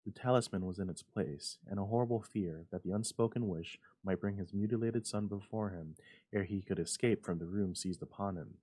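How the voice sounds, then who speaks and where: A man with a lowish voice narrates a Gothic tale. He reads in a dramatic, scripted storytelling style, theatrical and full of suspense. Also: a little fast, warm tone. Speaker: library staff storyteller.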